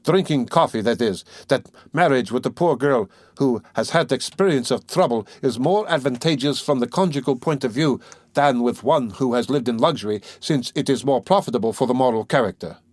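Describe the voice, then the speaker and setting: A man's voice: medium pitch, animated, brisk, a little mellow, no room sound, voice pushed up, natural voice. A man doing a pompous touchy suitor. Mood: pompous huffy. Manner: huffy, sententious, stiffly formal. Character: pompous, touchy middle-aged male suitor.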